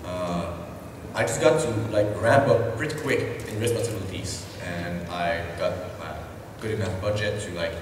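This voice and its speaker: A man's voice: low-pitched, normal speed, background noise. A young man with a French accent, earnestly telling his story as an indie studio founder and sometimes searching for words. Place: large convention hall. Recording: echoey convention hall from far off.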